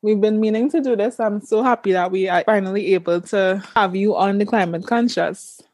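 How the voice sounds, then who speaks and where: A woman speaks as a podcast host. Her pitch is medium and her delivery is that of a prepared presenter. The sound is close and dry, with little room in it. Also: normal speed, darkish tone, no echo. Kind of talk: scripted introduction and interview questions.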